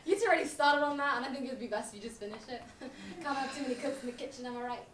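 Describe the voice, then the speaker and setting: An actress playing a laid-back young woman roommate in a live stage comedy, making easygoing deadpan jokes. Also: high-pitched, racing, a crowd in the background, lightly acted. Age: young adult. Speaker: stage actor. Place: small theatre stage. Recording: roomy stage, distant mic, background noise.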